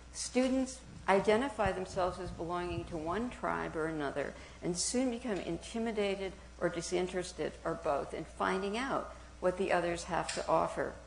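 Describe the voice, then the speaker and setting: A soft-spoken woman giving a university talk, partly reading aloud, animated and searching as she speaks. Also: mid-range voice, creaky, low-quality recording. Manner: animated and searching, with restarts and small laughs. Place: university auditorium.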